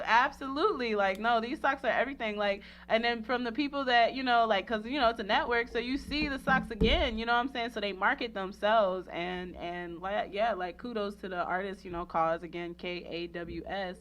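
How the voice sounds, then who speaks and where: A woman's voice: a little high, moderate pace, hardly any pauses, fairly bright tone. Effusive, slangy woman host, noisy recording. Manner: effusive, slangy, rambling, frequently repeats herself. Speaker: radio show host and interviewer. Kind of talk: casual promotional interview chat.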